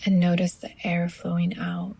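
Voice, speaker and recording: A woman yoga teacher leads a guided meditation in a hushed, very breathy voice close to a whisper. Her pitch sits a little low and stays very even, with some soft creak. She gives gentle, soothing instructions in short phrases with long pauses.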